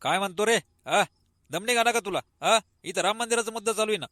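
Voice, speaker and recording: A talkative, devout and touchy cartoon villager played by a man with a rural Maharashtrian accent. He speaks emphatically and fast in a high voice with a dry sound. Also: sharp tone, no room sound. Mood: irritated. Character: devout, talkative village elder brother, easily irritated. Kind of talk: comic cartoon dialogue.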